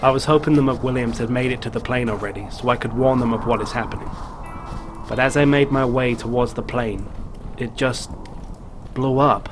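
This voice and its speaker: A man's voice: medium pitch, moderate pace, music in the background, close to an everyday voice. A man playing a haunted, guilt-ridden middle-aged father narrating a horror story in a game. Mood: shaken, grim. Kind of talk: dramatic first-person story narration.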